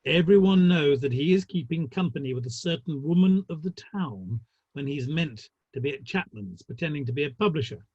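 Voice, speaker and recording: A man playing a wry, gossipy, worldly Victorian theatrical gentleman in a play reading, with formal phrasing and dry wit. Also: fairly high pitch, lively intonation, a little fast, slightly rough, dry recording, lo-fi sound. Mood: gossipy, arch. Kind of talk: online dramatic play reading.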